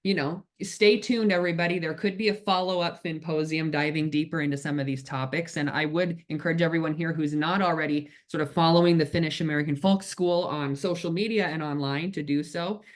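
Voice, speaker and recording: A man's voice: a little high, fairly even intonation, fairly quick, few pauses. A man hosting an online Q&A over a video call, effusive and full of thanks and praise for his guest. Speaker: host, moderator. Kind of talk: moderating a webinar Q&A.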